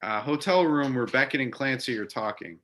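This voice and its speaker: A man's voice: medium pitch, moderate pace, a bit bright. A man narrating scripted stage directions for a readers theater drama.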